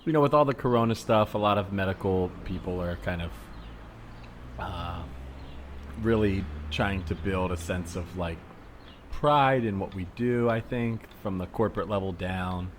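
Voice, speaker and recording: A man playing an earnest, mild-mannered hospital worker out jogging in an improvised comedy scene, speaking casually in a halting, tentative way. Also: low-pitched, lightly acted. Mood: earnest, proud. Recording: background noise of outdoor soundscape. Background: added outdoor ambience soundscape.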